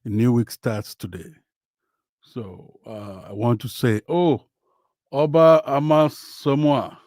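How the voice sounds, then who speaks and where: A Nigerian man whose first language is Yoruba, hosting a casual football livestream from home, talking slowly with many fillers. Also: mid-range voice, darkish tone, dry recording, clean studio sound. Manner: slow, hesitant, many fillers. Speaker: livestream host. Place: home, in Western Australia.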